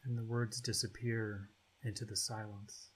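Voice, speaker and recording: A man leading a guided meditation as its guide, speaking in short, spacious phrases with long pauses between them. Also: lowish voice, level tone, husky, rough recording.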